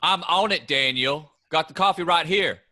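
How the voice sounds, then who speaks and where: A man reading the part of Ray, a gruff, bossy farm brother with a drawling Kentucky accent, in a staged play reading. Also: fairly high pitch, normal speed, sharp tone. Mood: teasing, bossy. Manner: drawling, needling, rough rural talk.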